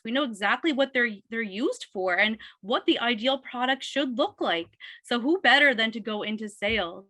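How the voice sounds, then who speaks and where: Hoarse, bright-voiced woman instructor. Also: a little high, dry recording. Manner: upbeat, explaining step by step. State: hoarse from a cold, losing her voice. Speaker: dental assisting instructor. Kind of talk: webinar presentation.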